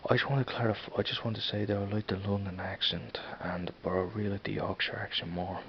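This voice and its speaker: A man in his thirties with a Dublin accent, rambling casually and halting over his words in an off-camera vlog. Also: on the low side, fairly even intonation, creaky. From Ireland. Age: 30s. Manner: rambling, halting, many restarts. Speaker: vlogger. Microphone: camera mic, filming a screen. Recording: dull lo-fi sound with faint hiss.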